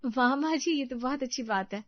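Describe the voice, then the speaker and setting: Woman doing a fed-up young bahu, high plaintive voice, dry muffled recording. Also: a little fast, no room sound, natural voice. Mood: meek. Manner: plaintive, protesting. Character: overworked, aggrieved young daughter-in-law, pleading. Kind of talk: Hindi family drama storytelling.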